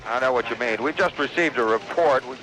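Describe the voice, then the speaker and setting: An actor playing a weary, anxious radio newsman in a studio during an invasion drama, speaking haltingly over a noisy old broadcast. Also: medium pitch, over music, close to an everyday voice. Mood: uneasy. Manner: hesitant, halting delivery with repeated phrases. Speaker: news anchor. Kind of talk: radio drama newscast, ad-libbed sounding.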